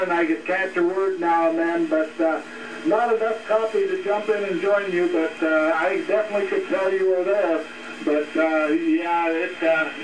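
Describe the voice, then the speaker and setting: A man chatting casually as a ham operator over AM shortwave radio, very hesitant, with many pauses. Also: high voice. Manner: very hesitant, frequent long pauses. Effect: heard over AM shortwave radio. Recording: noisy, roomy radio speaker, distant from mic. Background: radio noise.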